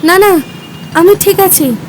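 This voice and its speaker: A woman's voice: very high-pitched, takes it slowly, noisy background. A woman playing a kind-hearted, earnest schoolgirl in an audio story.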